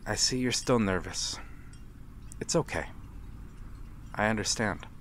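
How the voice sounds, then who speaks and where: A man playing a courteous, melancholy vampire in a dramatic ASMR roleplay, speaking formally and with measured pauses. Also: lowish voice, a bit bright. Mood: gentle, reassuring. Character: courteous, melancholy male vampire, lonely and mysterious. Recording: clear background ambient noise. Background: night harbor ambience.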